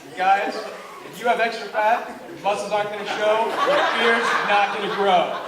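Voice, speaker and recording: Man playing a high-voiced macho fitness pitchman. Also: steady tone, normal speed, heavy background noise, lightly acted. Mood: boastful pitch. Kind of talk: mock infomercial in a live skit. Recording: echoey hall, distant mic, music behind.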